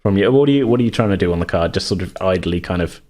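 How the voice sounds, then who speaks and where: A man's voice: sits low, animated, rapid-fire, a little mellow, slight background noise. A man acting as game master, improvising tabletop game narration in informal, hesitant phrasing.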